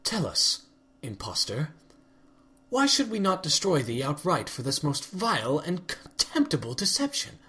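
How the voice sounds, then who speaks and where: A man's voice: fairly high pitch, wildly swooping pitch, unhurried, bright, forceful tone, voice pushed up, heavily acted. A wrathful elder pony princess with a lifted, ringing voice that swings widely as she pronounces judgment in old-fashioned, formal speech, performed by a man. She sounds imperious and unyielding, as from a storybook. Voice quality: archaic formal speech, commanding. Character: regal, furious elder winged pony princess.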